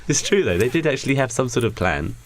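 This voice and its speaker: A man's voice: mid-range voice, sing-song, fast talker, crowd noise. A British man in his twenties chatting casually as a podcast co-host while improvising a story. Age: 20s.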